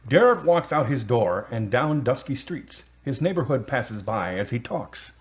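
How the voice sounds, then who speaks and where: Male narrator for scripted drama, mid-pitched and husky. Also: big pitch swings, unhurried.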